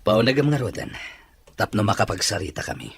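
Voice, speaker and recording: A man's voice: on the low side, sing-song, brisk, slightly rough, heavily acted. A man playing an ailing boss who longs for his lost child, in a radio drama. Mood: businesslike, commanding.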